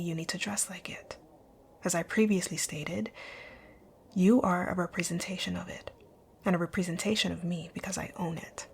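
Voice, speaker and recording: A woman playing a rich, dominant company owner in an ASMR roleplay, speaking in a composed, commanding, breathy near-whisper. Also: on the low side, a little hiss or hum. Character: rich, bossy, dominant female company owner, curt yet flirtatious. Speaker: audio roleplay voice actor. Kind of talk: ASMR roleplay, scripted one-sided dialogue.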